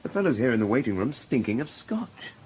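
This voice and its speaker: A man's voice: medium pitch, very animated, a little fast, a little mellow, a little hiss or hum, close to an everyday voice, rough recording. A man acting a candid hospital doctor in a radio drama, British and conversationally matter-of-fact. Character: brisk, candid male hospital casualty doctor.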